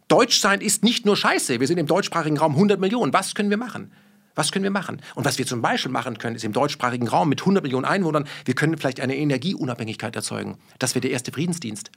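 Fast, emphatic German-speaking man. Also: on the high side, a bit bright. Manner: emphatic, rapid, rhetorical questions, swears. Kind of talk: heated podcast conversation.

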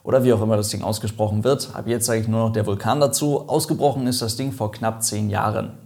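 A man's voice: on the low side, steady tone, fast talker, gravelly, darkish tone. A man hosting an online aviation video, explaining events in a chatty, lightly joking way. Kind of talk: casual explainer narration.

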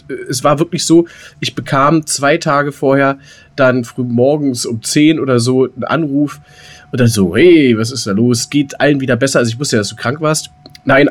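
A man co-hosting a podcast, chatting colloquially and telling things in a playful way. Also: mid-range voice. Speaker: podcast co-host. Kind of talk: casual podcast chat.

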